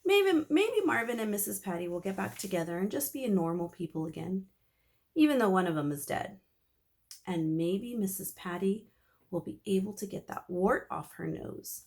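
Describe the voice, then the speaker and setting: A woman teacher reading a children's chapter book aloud in an expressive, animated storytelling manner. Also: mid-range voice, moderate pace.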